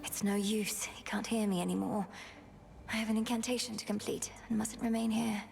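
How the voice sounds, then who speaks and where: A woman playing an earnest, determined young underworld princess and witch in a game, speaking formally and with measured care. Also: medium pitch, flat delivery, vocal fry, background noise. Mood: hushed, resigned. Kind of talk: scripted game acting.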